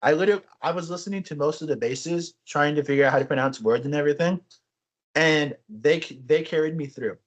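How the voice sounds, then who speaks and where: Young male podcast co-host, slightly high voice, chatty and rambling. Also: quick pace. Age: young adult. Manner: rambling, restarts often, animated storytelling. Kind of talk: casual chat.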